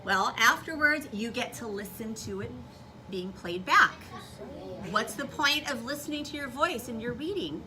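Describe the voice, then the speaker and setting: A woman's voice: fairly high pitch, lively intonation, normal speed, a crowd in the background. A woman teacher instructing young children in a classroom, giving directions, repeating them and asking lots of questions. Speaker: primary school teacher. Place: school classroom. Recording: noisy classroom recording. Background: classroom noise.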